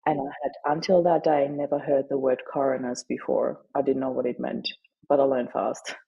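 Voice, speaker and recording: A middle-aged woman whose first language is German, sharing her story earnestly and haltingly in an interview. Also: very deep voice, flat delivery, gravelly, husky, dull audio. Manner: earnest, halting, with frequent fillers. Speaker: guest, grief advocate and author.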